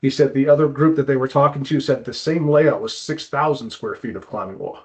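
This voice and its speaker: A man giving a conversational expert explanation as an interview guest, informal and halting, often repeating words. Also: medium pitch. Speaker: guest climbing wall consultant. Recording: dull, lo-fi audio.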